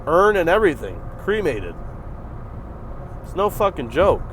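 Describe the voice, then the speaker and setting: A man hosting a reaction video, giving casual, profane commentary on a fight, jumping from loud bursts to low mutters. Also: big pitch swings, unhurried, a little hiss or hum.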